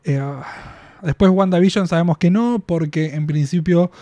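A man in his twenties with an Argentine Spanish accent, talking through a casual spoken review in a small room on a boom mic, chatty and quick to laugh, with drawn-out fillers. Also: dark tone, no echo. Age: 20s. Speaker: reaction video host. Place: small room in front of packed collectible shelves. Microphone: studio mic on boom arm, headphones on.

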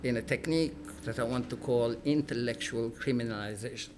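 A middle-aged man, an academic, speaking freely to an audience in a small room, animated and emphatic, with some ums. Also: mid-range voice, a little fast, background noise. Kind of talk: public talk, speaking freely. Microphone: stand mic, filmed from the audience.

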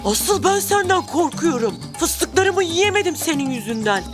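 Animated kids' show: timid elephant, high-pitched, fretful, big-hearted, music behind. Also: female voice, unhurried, full character voice. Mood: upset. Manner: fretful, exaggerated, expressive. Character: timid, anxious, good-natured young cartoon elephant. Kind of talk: animated children's cartoon dialogue.

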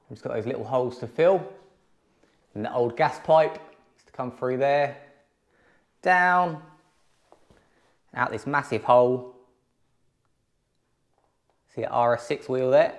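A man's voice: medium pitch, a little mellow. A man in his thirties with an English accent, giving an enthusiastic casual walkthrough of his home renovation in an empty room, filmed on an action camera. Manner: enthusiastic, upbeat.

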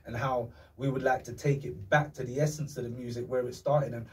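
A man's voice: mid-range voice, brisk. A man from London with a London accent, talking emphatically in street slang as a podcast guest. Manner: animated, emphatic, street slang, acts out quotes. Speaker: podcast guest, music artist. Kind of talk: casual chat. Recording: distant mic.

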